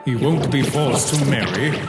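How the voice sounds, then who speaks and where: Guy doing a calculating schemer for a comic dub, theatrical, echoey, loud music behind. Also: mid-range voice, close to an everyday voice. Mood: calculating, persuasive. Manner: deliberate, persuasive, theatrical. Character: manipulative male schemer urging a warrior, grave and calculating. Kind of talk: dramatic comic dub voice acting. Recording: echoey reverberant sound under loud music.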